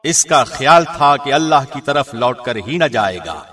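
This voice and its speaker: A man with a mid-range voice reads scripture formally. His phrasing is solemn and measured, like a radio presenter's. He sounds slightly away from the microphone. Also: medium pitch, expressive, pushed and bright, recorded from a distance, soft background music. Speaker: translation reader, radio host.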